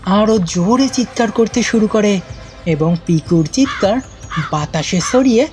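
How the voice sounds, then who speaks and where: A woman's voice: medium pitch, unhurried, darkish tone, faint music behind. A woman narrating a children's cartoon story in a dramatic, suspenseful storytelling manner.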